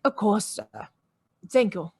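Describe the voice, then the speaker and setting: A woman's voice: medium pitch, big pitch swings, slow pace, creaky, a little mellow, husky, no room sound, clean studio sound, exaggerated voice. An actress playing a composed young woman under police questioning in a radio play, curt and guarded. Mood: cool, polite.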